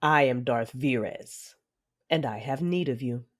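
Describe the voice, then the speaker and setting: A woman playing a commanding, coolly menacing Sith lord in an audio drama, speaking in a measured, imperious, formal way. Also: rumbling low.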